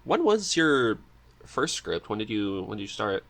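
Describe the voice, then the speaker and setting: A man's voice: fairly low pitch, very animated, measured pace, creaky, fairly bright tone. A man hosting a podcast, chatting casually and supportively with his guest. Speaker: podcast host. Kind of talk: casual interview chat.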